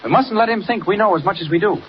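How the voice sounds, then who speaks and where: An American actor playing an earnest, quick-witted newspaper reporter hero in a 1940s radio serial, speaking with urgent assurance. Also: male voice, sits high, natural voice. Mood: assured, urgent. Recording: muffled, noisy 1940s radio recording.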